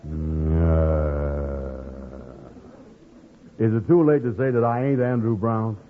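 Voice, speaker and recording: A man acting in 1940s radio comedy, playing a gullible, blustering but soft-hearted fellow who hems and mangles his grammar. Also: lowish voice, slight background noise. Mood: wary, flustered. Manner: slow-witted, hemming, mangling grammar. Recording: tinny, band-limited old radio recording.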